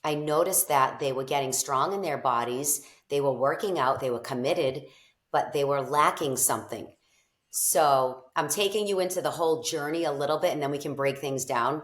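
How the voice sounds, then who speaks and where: A woman's voice: sits low, moderate pace. A middle-aged woman from Boston with a strong Boston accent, talking as an interview guest in a bubbly, effusive way. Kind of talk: casual interview chat. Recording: echoey room.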